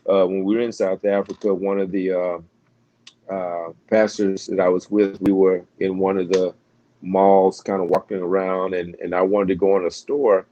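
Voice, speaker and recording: Deep, flat-voiced American pastor. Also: male voice, highs cut off, a little hiss or hum. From United States. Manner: halting, many restarts and fillers. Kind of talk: casual interview conversation.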